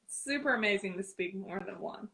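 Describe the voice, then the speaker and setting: A woman's voice: medium pitch, normal speed, gravelly, a bit bright. A woman hosting a casual interview, upbeat and encouraging, laughing easily. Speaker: interviewer host.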